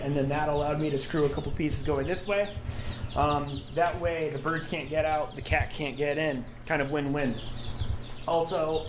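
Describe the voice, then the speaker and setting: A man in his thirties casually narrating a how-to homestead vlog in a basement workshop, easygoing and full of ums. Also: fairly high pitch. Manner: easygoing, rambling, many ums. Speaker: homestead vlogger. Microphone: action camera on the body. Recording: noisy basement with chickens clucking. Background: chicks cheeping.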